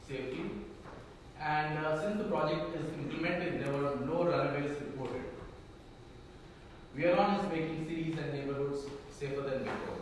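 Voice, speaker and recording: A man's voice: fairly high pitch, slight background noise. A man presenting at a conference in a hotel ballroom, with many fillers and restarts as he explains a case. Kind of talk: conference talk with Q&A. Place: hotel conference room on stage. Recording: echoey hall, far from the mic.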